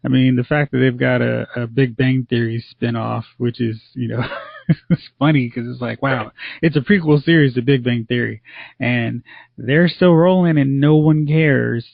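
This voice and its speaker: Gravelly man hosting a movie podcast. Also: medium pitch, steady tone, moderate pace, no room sound. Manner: poses long rambling questions. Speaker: podcast host. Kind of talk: casual movie podcast chat. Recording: slightly dull lo-fi audio.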